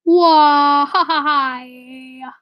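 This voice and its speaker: A woman live streaming and chatting casually with viewers, slightly raspy with a stuffy nose from a cold, rambling and correcting herself. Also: sits high, steady tone, very slow, muffled recording. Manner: rambling, self-correcting, drifts to a whisper.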